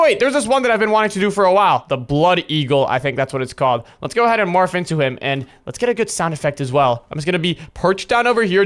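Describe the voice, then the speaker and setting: A high-voiced man hosts a gameplay video with very lively intonation. He narrates each of his moves out loud in an excited, giggly way, as commentary for viewers. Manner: animated, narrates own actions aloud, giggly. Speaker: video host and player pranking friends.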